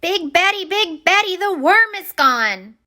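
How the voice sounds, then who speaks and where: Woman doing a high-pitched, eager little minnow. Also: unhurried, exaggerated voice. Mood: excited. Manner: excitable, earnest chirping. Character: tiny eager friendly young minnow. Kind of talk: picture-book character voice.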